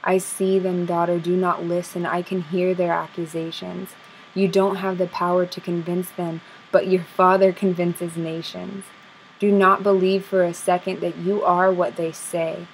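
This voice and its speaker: A young woman speaks spoken word verse in a low, steady voice with little rise and fall. Her delivery is soft and intimate, the rhymes landing in a measured rhythm. She is close to a webcam in a small room, with a faint hiss behind her. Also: low-quality recording. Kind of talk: spoken word poetry recital. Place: small dim room indoors. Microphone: webcam at close range.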